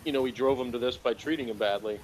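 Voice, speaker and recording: A man chatting casually as a review co-host, speaking in a hesitant, halting way. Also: mid-range voice, slight background noise, rough recording. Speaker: podcast co-host reviewing audio dramas.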